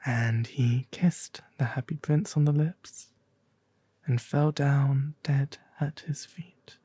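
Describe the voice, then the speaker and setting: A man playing a melancholy, genteel owl demon prince, reading a storybook aloud in a soft, refined, breathy ASMR voice. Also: mid-range voice, fairly even intonation, slow pace, dry recording. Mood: gentle, sombre. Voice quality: breathy, soft, often half-whispered. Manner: refined, gentle, formal diction.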